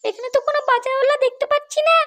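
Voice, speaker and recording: A woman's voice: extremely high pitch, fairly even intonation, rapid-fire, a bit bright. A woman voicing a bossy, insistent young wife who can sweet-talk or scold coarsely, in a crude comedy cartoon. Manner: insistent, nagging, coarse when angry.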